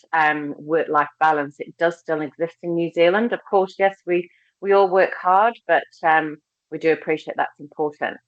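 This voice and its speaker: A woman's voice: sits low, no room sound. A woman with a slight English accent, hosting a recruitment webinar in a chatty, friendly way with many ums, speaking from a laptop on a video call. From England. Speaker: recruitment team head, webinar host. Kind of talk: casual webinar presentation. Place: holiday house by the beach. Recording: dry, slightly dull video-call audio.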